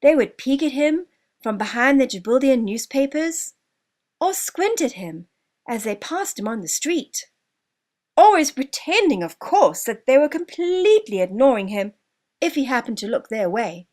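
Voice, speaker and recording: A woman author narrating her own children's story in an expressive, deliberate storybook manner, drawing out certain words. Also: high-pitched.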